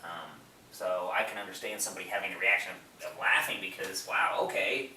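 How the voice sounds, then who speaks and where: A man's voice: low-pitched, steady tone, a little fast, pushed and bright. A man co-hosting a casual film discussion, talking in a rambling, emphatic way. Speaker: podcast co-host. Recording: roomy, distant mic, faint noise.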